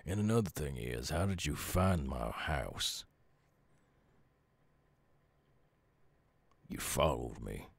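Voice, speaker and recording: A man voicing a gruff, weary, guarded werewolf outcast in a slow ASMR roleplay, with a Southern US accent and a gravelly, husky, halting drawl. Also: very deep voice, very animated, dry recording, exaggerated voice. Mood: suspicious.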